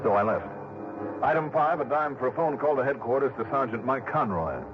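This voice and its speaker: A man's voice: mid-range voice, animated, a little fast, close to an everyday voice. An actor playing a wry, hard-boiled investigator who narrates his case in a clipped 1960s radio drama style. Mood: wry, matter-of-fact. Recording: dull old radio recording with music behind.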